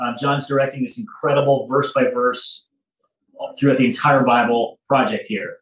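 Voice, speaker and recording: A middle-aged man speaking earnestly and haltingly in an interview, reflecting as he goes with deliberate pauses. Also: mid-range voice, fairly even intonation, a bit bright. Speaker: studio head being interviewed. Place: small office, on a video call. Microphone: phone some way off. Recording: roomy, distant phone microphone.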